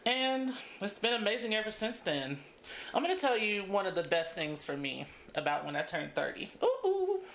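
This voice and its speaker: A woman's voice: medium pitch, big pitch swings, moderate pace, fairly bright tone, roomy sound, a little hiss or hum. A woman in her thirties chatting casually as she braids her hair for her vlog, laughing often between thoughts. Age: 30s. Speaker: beauty vlogger.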